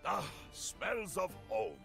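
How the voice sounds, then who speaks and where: An actor playing a big, kindly strongman hero in a video game, jovial and gentle, with a Slavic-style accent and broken-English phrasing. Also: high-pitched, wildly swooping pitch, slow pace, gravelly, soft background music, full character voice. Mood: hearty, confident. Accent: Slavic-style foreign.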